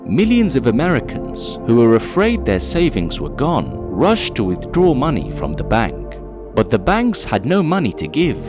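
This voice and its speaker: A man's voice: medium pitch, huge pitch swings, moderate pace, over music. A man reading scripted documentary narration in a dramatic, emphatic storytelling manner.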